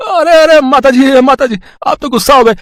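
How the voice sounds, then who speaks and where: A man's voice: very high voice, normal speed, dry recording, studio quality, pitched above the performer's own voice. A man voicing a cheeky, crooked young electrician in a cartoon moral story, chatty and wheedling. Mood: placating.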